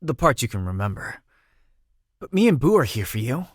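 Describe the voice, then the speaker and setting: A young man voicing a gentle, sentimental exiled prince in a video game, speaking in a heartfelt, boyish, self-conscious way. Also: medium pitch, sing-song, normal speed, husky, no room sound, clean studio sound. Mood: tender, earnest. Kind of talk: video game character acting.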